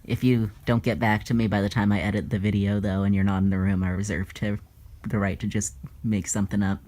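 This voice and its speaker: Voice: sits low, level tone, a little fast, darkish tone. A vlogger chatting casually to camera at home, playful and sweary, cooing like a pet owner. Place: indoors at home.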